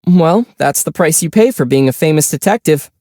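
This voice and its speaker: A man voicing a calm, gentle young traveler hero in a video game, speaking thoughtfully with mild teasing. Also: a little high. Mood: matter-of-fact. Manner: measured, thoughtful, mildly teasing. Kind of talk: video game character voice lines.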